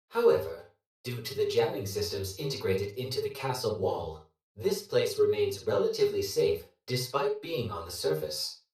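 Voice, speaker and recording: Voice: mid-range voice, a little mellow. An actor voicing a dutiful, emotionless robot assistant in a video game, with formal, systematic delivery and robotic processing. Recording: heavily echoing, distant processed sound.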